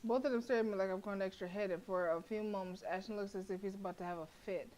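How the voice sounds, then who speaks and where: A young woman streaming a horror visual novel, reading the narration aloud and breaking into blunt, profane, animated reactions with frequent laughter. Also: medium pitch, quick pace.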